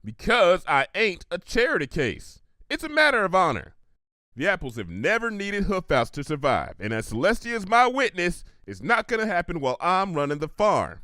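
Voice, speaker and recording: A man's voice: high voice, wildly swooping pitch, normal speed, pushed and bright, dry recording, studio quality, pitched above the performer's own voice, full character voice. Man voicing a proud Southern farm-girl cartoon pony. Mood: defiant. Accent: Southern US country. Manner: folksy, drawling, emphatic. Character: proud, stubborn female country farm pony.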